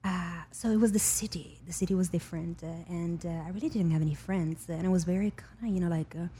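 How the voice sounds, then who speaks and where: A woman with an Italian accent talking reflectively as a podcast guest, often pausing mid-thought. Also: lowish voice, dark tone, a little hiss or hum. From Italy. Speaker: podcast guest, spiritual healer and teacher. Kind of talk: reflective casual conversation.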